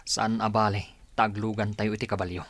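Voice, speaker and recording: A man's voice: on the low side, little pitch movement, a little fast, a bit bright, no echo. A man with an Ilocano accent acting as a cold, obedient father loyal to his leader in a radio drama, speaking firmly and dutifully. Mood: cold resolve.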